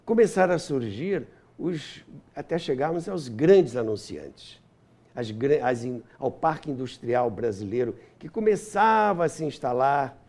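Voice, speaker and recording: A man's voice: mid-range voice, expressive, unhurried, darkish tone. A man in his seventies with a Brazilian accent, reminiscing in an interview in an emphatic, conversational way. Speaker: interview guest, radio veteran and author.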